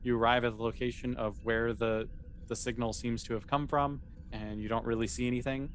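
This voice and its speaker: A man's voice: mid-range voice, flat delivery, a little fast, a little hiss or hum. A man running a tabletop game as game master, narrating in a casual, easygoing, improvised way.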